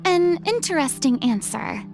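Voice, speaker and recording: A woman's voice: high-pitched, very animated, unhurried, music in the background, exaggerated voice. A woman playing a proud, grandiose young former celebrity performer in a video game, with florid theatrical delivery. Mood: pensive, grand.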